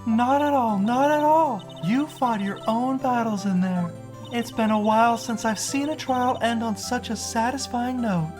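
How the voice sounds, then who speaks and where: A man voicing a poised, kindly woman who is a chief lawyer and mentor, measured and gently teasing, in a fan-dubbed game. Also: extremely high pitch, music in the background. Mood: proud, warm. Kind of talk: fan-dub video game dialogue.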